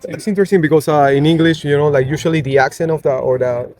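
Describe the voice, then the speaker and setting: A man, a band's singer, talking in a casual interview with many halting fillers. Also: on the high side, fairly even intonation, a little mellow, a little hiss or hum. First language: Spanish. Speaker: band singer.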